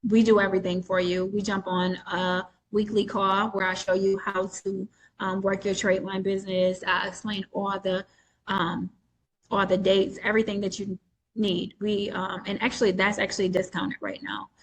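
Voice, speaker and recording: A woman's voice: mid-range voice, level tone, normal speed, poor audio. Chatty woman coach on a video call. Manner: explains at length with many restarts and fillers. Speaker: coach selling a mentorship. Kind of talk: live webinar Q&A.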